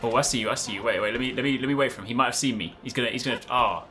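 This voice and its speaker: A man in his thirties giving casual, chatty, excitable gameplay commentary, often repeating short phrases. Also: medium pitch, very fast, soft background music. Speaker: gaming video host. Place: indoors at a desk, gaming setup.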